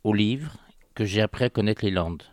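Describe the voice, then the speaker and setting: An older man with a slight Parisian accent, a guest in a radio studio, telling his story in a soft-spoken, unhurried way. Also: on the low side, no room sound. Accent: slight Parisian French. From Paris, France. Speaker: radio guest, heritage association president. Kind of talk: casual radio interview.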